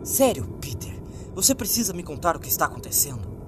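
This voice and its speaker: Man playing an anxious young woman, lively delivery. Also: on the high side, fast talker, far from the mic, noisy background, pitched above the performer's own voice. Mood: worried, determined. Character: anxious young woman searching for her missing boyfriend. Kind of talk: creepypasta audio narration.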